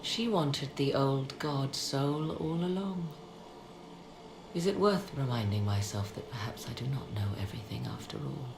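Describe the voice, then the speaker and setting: Very low-voiced, proud sorceress. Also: female voice, lively intonation, gravelly, exaggerated voice. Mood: grave, troubled. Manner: formal, deliberate, theatrical phrasing. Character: proud, guarded sorceress, a worried mother. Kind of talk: dramatic video game dialogue. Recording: echoey, noisy game scene capture.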